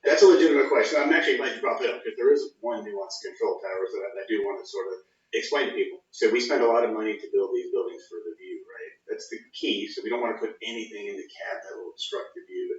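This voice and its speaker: A man giving informal technical explanations as an engineer being interviewed, often saying "you know" and "sort of.". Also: on the low side. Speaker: government project engineer interviewee. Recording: roomy, distant, dull sound.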